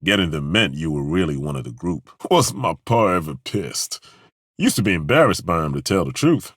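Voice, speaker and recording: A rough-edged former temple guard for a fantasy game, his voice sitting extremely low with a husky grain. He talks bluntly with a wry edge and swears casually, yet his delivery rises and falls with lively swings. The studio sound is bone dry. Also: normal speed, dry recording, full character voice. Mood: wry, easygoing. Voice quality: very deep, commanding voice. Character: gruff, blunt male ex-temple guard fighter. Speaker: companion in a game. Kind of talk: game voice acting. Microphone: studio microphone.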